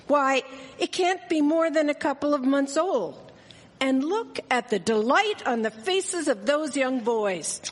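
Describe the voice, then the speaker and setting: Woman playing a high-voiced, scandalized shopkeeper. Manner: appalled, righteous. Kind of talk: live radio drama acting. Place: performing arts centre stage. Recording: hall reverb, slight ambient noise.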